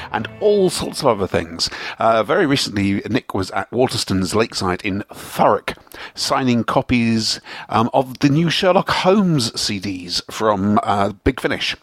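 A man giving an informal introduction as the host of a podcast. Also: mid-range voice.

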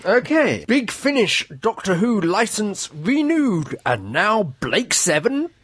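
High, husky British man, very animated pitch. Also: slow pace, no room sound. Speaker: podcast co-host. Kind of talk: casual chat and news reading.

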